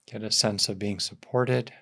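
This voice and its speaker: A man leading a guided meditation as a teacher, speaking gently and softly in short phrases with long pauses. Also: fairly low pitch, steady tone, no echo, clean studio sound.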